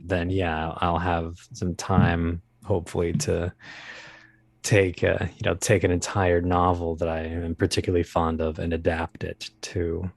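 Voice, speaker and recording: A man speaking casually as an interview guest, talking about his creative work in a halting, think-aloud way. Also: sits low, no echo. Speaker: guest, audio drama producer and voice actor.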